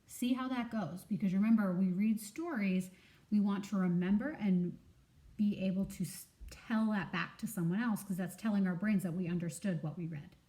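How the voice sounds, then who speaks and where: A woman teacher reading a children's story aloud, enunciating expressively and pausing to prompt listeners with questions. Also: mid-range voice, dark tone, poor audio.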